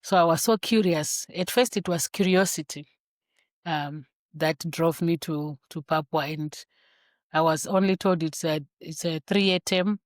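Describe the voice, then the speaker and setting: A woman from Zimbabwe, a grandmother and physician, telling her story in an interview, with thoughtful pauses and repeated words. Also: low voice, dry recording, clean studio sound. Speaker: guest, infectious disease physician.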